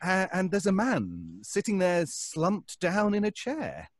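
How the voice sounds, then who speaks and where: A man's voice: high voice, huge pitch swings, dry recording, clean studio sound, heavily acted. An actor playing an anxious, hesitant, fussy man who stammers, in old-time radio suspense style. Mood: polite, hesitant. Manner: stammering, flustered, halting.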